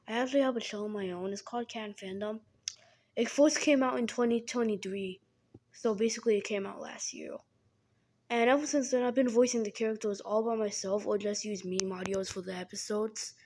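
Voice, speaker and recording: A young woman who speaks haltingly, often repeating and restarting her words, as she talks casually to her viewers. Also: mid-range voice, fairly even intonation, a little mellow. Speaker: animation creator. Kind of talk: casual vlog appeal.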